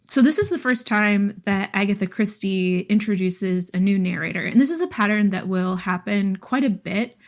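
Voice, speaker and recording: A woman's voice: mid-range voice, normal speed, few pauses. A woman co-hosting a podcast, talking casually and enthusiastically about books, peppering her speech with "like". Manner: enthusiastic, frequent "like", laughs while talking. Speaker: podcast co-host. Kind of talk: casual book discussion.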